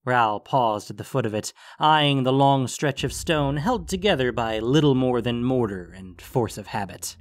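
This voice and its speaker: Mid-pitched male fantasy story narrator. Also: lively intonation, normal speed, few pauses. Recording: music under the voice.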